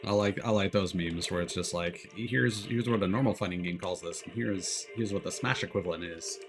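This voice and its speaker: A man's voice: deep voice, normal speed, noisy background. A man streamer chatting casually and rambling with many restarts while a game loads.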